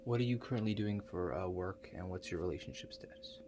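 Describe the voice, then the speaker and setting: A man playing a low-voiced, hesitant ASMR gadget operator. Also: wildly swooping pitch, background noise. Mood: matter-of-fact, hesitant. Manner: halting, understated, mumbled asides with many pauses. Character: awkward, hesitant male operator of a future-viewing contraption. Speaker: ASMR roleplay performer. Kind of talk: soft-spoken ASMR roleplay.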